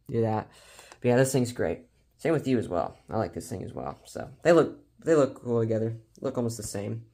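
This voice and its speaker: A man's voice: on the low side, darkish tone. A man casually showing a collection in an unscripted vlog, talking in an informal, slangy, rambling and somewhat hesitant way. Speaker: hobbyist collector presenting a collection video.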